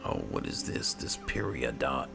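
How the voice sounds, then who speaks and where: A man giving roleplay game commentary and reading item text aloud, muttering to himself in an unhurried way. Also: a bass voice, huge pitch swings, vocal fry. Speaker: gaming video host. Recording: game music behind voice. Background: video game music.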